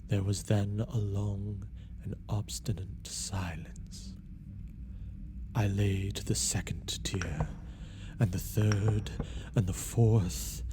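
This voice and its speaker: A man narrates a gothic tale in the first person with theatrical intensity. His voice is on the low side and his delivery is very slow and deliberate. Clear background noise sits behind him. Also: steady tone. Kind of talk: dramatic first-person gothic story reading.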